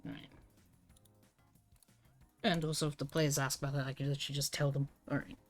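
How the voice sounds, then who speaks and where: A woman's voice: very deep voice, level tone, a little fast, soft background music. A British woman streaming as she writes tabletop game rules, thinking aloud in a hesitant, self-mocking way while she works out the wording. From United Kingdom.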